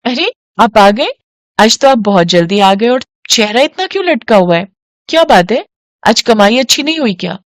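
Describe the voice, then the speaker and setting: A woman's voice: fairly high pitch, animated, normal speed, no echo, clean studio sound, natural voice. A woman voicing a clever young wife in a moral story, reassuring and practical. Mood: concerned, curious.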